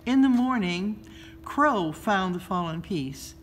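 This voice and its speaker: A woman reads a children's story aloud in a measured, expressive storytelling style, pausing between phrases. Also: medium pitch. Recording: noticeable background noise.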